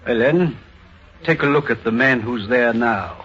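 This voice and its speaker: A man acting an excited, driven doctor and researcher in a dramatic 1950s radio play style. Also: medium pitch, a little slow, slight background noise, lightly acted. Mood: assured, triumphant. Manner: dramatic, theatrical. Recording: muffled vintage radio recording.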